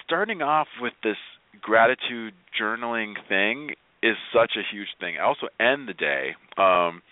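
Middle-aged guy guesting on radio, kinda low voice, earnest, repeats himself, tinny dry phone sound. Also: expressive, measured pace, no echo. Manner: earnest, emphatic, often repeats phrases. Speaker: guest, motivational speaker. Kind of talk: casual interview talk with personal anecdotes.

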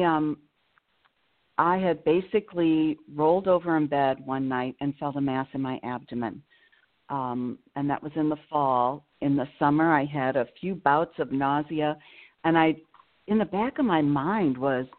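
A woman's voice: low-pitched, normal speed. A woman from Illinois, a nurse and cancer survivor, telling her story as a guest calling in to a radio interview. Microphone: phone. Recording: tinny phone line.

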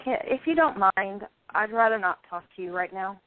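A woman's voice: medium pitch, expressive, brisk, lightly acted. A woman acting the part of a depressed, envious, defensive actress of almost thirty in a radio play. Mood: curt, withdrawn. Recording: thin phone-like audio.

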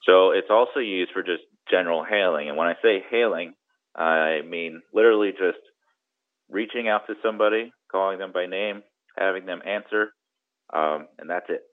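A man's voice: low-pitched, moderate pace. A man explaining things informally as an interview guest, in a conversational way, checking in with the listener now and then. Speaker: guest, commercial mariner and boating trip planner. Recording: muffled narrow-band audio.

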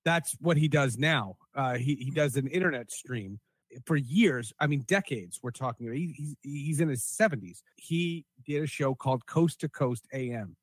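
A man's voice: mid-range voice, normal speed, no echo. A man hosting a podcast in a casual, chatty style. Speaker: podcast host.

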